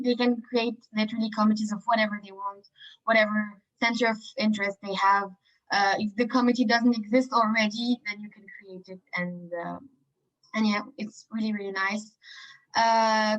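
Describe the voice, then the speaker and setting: A woman's voice: mid-range voice, fairly bright tone. A young woman from Morocco, a student representative, chatting casually with fillers in a webinar over a video call. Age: young adult. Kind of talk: webinar chat. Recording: dull lo-fi call audio.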